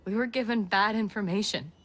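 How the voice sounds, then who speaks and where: A woman's voice: mid-range voice, a little fast, fairly bright tone, close to an everyday voice. An actress playing a regretful woman rebel in a video game cutscene, defensive as she confesses. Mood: confessing.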